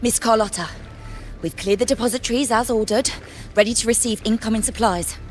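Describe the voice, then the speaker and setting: An actress playing an obedient subordinate in a video game, formal and deferential. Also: female voice, on the high side, a little fast, soft background music, close to an everyday voice. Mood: dutiful. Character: obedient, respectful female subordinate.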